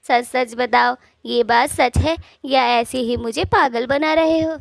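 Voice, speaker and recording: A woman's voice: on the high side, steady tone, takes it slowly, creaky, dry recording, studio quality, heavily acted. A woman voicing a doubtful old village wife in a cartoon story, questioning and nagging. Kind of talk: Hindi cartoon story voice acting.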